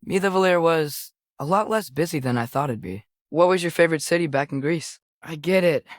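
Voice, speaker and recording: A man's voice: fairly high pitch, a little fast, no room sound, natural voice. A young male actor voicing an earnest, curious teenage boy adventurer in a video game, tentative but eager in a naturalistic style, recorded dry and close. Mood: helpful, unsure.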